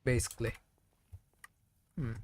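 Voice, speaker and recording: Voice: unhurried, darkish tone. A game streamer giving casual, chatty, playfully exasperated live commentary.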